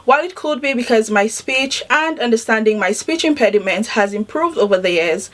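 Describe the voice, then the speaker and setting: A woman in her twenties giving a prepared inspirational talk with deliberate, emphatic phrasing. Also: a little high, expressive. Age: 20s. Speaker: public speaker.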